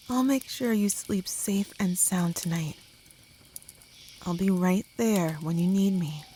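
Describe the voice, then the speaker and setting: A woman playing a kind, protective traveller in a gentle ASMR comfort roleplay, speaking softly with some whispering and reassuring slowly. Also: mid-range voice, a little hiss or hum. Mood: tender, protective. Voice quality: soft, partly whispered. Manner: gentle, slow, soothing, reassuring.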